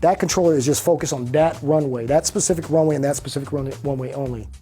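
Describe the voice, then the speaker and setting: A man working as an air traffic controller, explaining and giving instructions in a brisk, practical manner. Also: on the high side, fairly even intonation, dark tone. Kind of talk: interview explanation and radio instructions. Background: background music.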